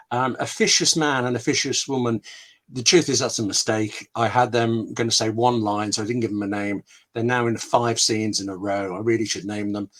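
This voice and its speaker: A man's voice: medium pitch, fairly bright tone. A man being interviewed as a scriptwriter on an online call, explaining with examples and anecdotes in a candid way, often interrupting himself. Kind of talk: explanatory webinar chat with examples.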